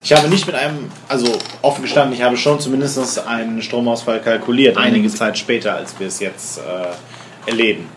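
A man's voice: mid-range voice, moderate pace. A man narrating a tabletop roleplaying game as game master, explaining the scene to his players. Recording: echoey room with clear background noise.

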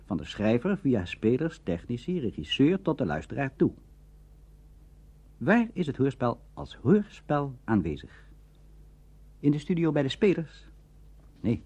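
A man presenting a scripted lecture in a formal, didactic and rhetorical manner, with a husky voice. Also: mid-range voice, dark tone. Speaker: presenter of a radio drama lecture. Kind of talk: scripted explanatory lecture narration. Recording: dull lo-fi old radio recording.

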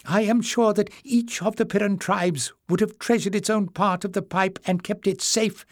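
Man doing high-voiced, doddering old librarian, eager and mumbling, storybook read, dry mic. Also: steady tone, normal speed, no room sound, close to an everyday voice. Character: frail eager old male royal librarian.

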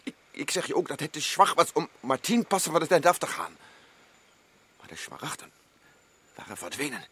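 Male actor: insistent, rattled expedition man, bright tone. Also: a little high, big pitch swings, moderate pace, pauses often, breathy edge, heavily acted. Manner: urgent, rising to shouts. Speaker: expedition member Joost. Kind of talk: radio drama acting.

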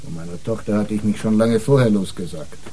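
Man playing surly ageing gang boss, dark-voiced. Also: lowish voice, a little fast, slight background noise, lightly acted. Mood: bitter, cold. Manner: blunt, contemptuous. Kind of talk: radio drama acting.

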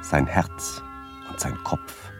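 An actor reciting in a 1970s radio drama as a grave, fiery man, with a weighty, halting delivery. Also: over music, natural voice.

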